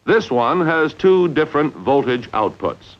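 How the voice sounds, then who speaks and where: A man narrating a 1950s instructional training film in a measured, deliberate way with emphatic enunciation. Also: fairly high pitch, very animated. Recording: dull, lo-fi 1950s film soundtrack.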